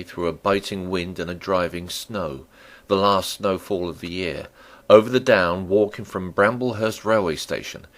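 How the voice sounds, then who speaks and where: A man performs dramatic audiobook narration in a fairly low voice. His pitch moves widely, and his phrasing is theatrical and deliberate. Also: fairly bright tone.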